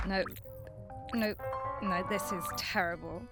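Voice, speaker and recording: An actress playing a bossy alien scientist and archaeologist who speaks English, brisk with others and grumbling to herself. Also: female voice, medium pitch, steady tone, over music. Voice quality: breezy, bossy, mutters to herself.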